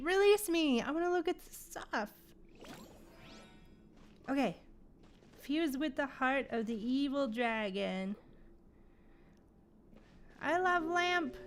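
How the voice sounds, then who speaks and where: A woman hosting a gaming livestream, chatting casually and informally with her viewers, sometimes swearing. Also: high voice. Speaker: livestream host playing a video game. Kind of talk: casual gaming stream chat. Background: game music.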